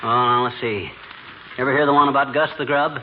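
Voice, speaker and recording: An actor playing a grumbling, excitable husband with a blustery, folksy, slangy manner in a 1940s radio comedy. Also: male voice, medium pitch, fairly quick, lightly acted. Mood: folksy storytelling. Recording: noisy, muffled old radio recording.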